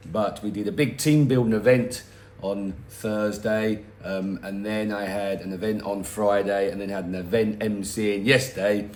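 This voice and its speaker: Hoarse chatty middle-aged man in a roomy space. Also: lowish voice, steady tone, normal speed, a little mellow, a little hiss or hum. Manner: chatty and rambling, self-mocking asides. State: hoarse, losing his voice. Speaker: weather forecast host for a boat tour company. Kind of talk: casual weather vlog. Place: home, in front of a garden.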